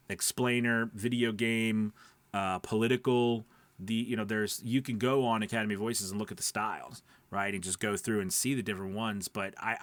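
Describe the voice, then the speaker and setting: Low-pitched man coaching emphatically, closely recorded. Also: fairly bright tone, no echo. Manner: emphatic, repeats points, checks in with "right?". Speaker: voice-over coach and platform owner. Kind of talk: informal instructional talk.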